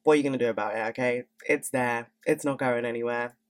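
A woman's voice: rumbling low, fast talker. A young woman in her twenties hosting a podcast in casual, candid chat, swearing freely and sounding stuffy from a cold. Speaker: podcast host.

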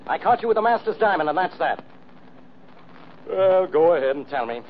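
An American actor playing a blustering, stubborn, gullible police inspector, gruff and bossy, in 1940s radio style. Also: male voice, fairly high pitch, very animated, normal speed. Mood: triumphant, stubborn. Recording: dull, noisy old radio recording.

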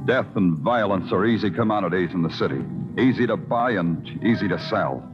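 An actor playing a weary, brooding, hard-boiled police detective in a 1950s radio noir drama. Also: male voice, deep voice, animated, a little fast. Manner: terse, world-weary, poetic narration. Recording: muffled old radio recording with music.

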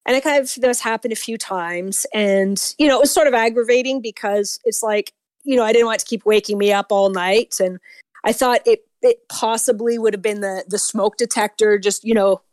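A woman from Canada with a Canadian accent, calling in to tell a story on a radio show, talking fast and rambling with fillers and restarts. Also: mid-range voice, darkish tone, no room sound. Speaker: caller. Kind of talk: phone-in storytelling.

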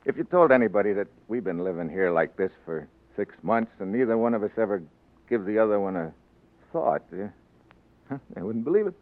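An actor playing a rough, plain-spoken politician and awkward husband in a 1940s radio drama, with an American accent and colloquial, gruff speech. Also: male voice, on the low side, lively intonation, fairly quick, natural voice. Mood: bashful, awkward. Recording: tinny old radio recording with music.

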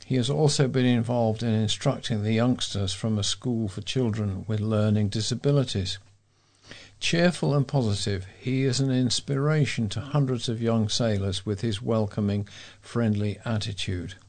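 A man reading scripted local news as a volunteer newsreader, in a measured way. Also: on the low side, a little mellow.

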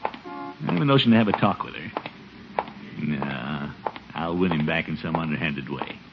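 An actor playing a pompous, put-upon middle-aged uncle in a 1950s radio comedy, blustering and muttering asides. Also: male voice, very deep voice, animated, normal speed, music in the background. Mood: brooding. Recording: noisy, dull 1950s radio recording.

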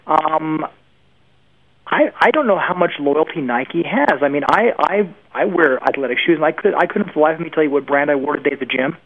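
A man speaking as an expert guest in an interview, giving opinionated conversational commentary and repeating phrases for emphasis. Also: mid-range voice. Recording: narrow phone-like band, lo-fi internet radio.

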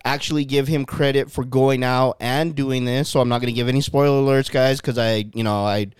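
A man with a smooth, resonant voice chats loosely on a podcast, dropping curse words and plenty of ums. His voice is close and dry, with no room sound around it. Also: medium pitch, steady tone, brisk, no room sound. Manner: loose, swearing, rambling with fillers. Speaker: podcast co-host. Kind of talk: casual podcast chat.

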